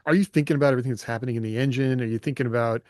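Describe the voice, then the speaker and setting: A man's voice: mid-range voice, steady tone, very fast, darkish tone, dry recording, clean studio sound. A man co-hosting a podcast in casual discussion, talking things through conversationally with questions and comparisons. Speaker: podcast co-host.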